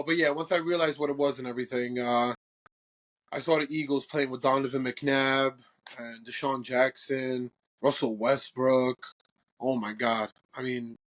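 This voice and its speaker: A man's voice: medium pitch, expressive, unhurried, poor audio. A young man from Croatia co-hosting a football podcast, brash and boastful, swearing freely in casual chat. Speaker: podcast co-host.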